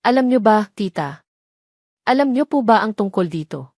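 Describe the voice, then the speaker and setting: A woman's voice: mid-range voice, moderate pace, no echo, clean studio sound, close to an everyday voice. Woman voicing a composed, bitterly wronged wife. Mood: bitter, accusing. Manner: calm, controlled, pained.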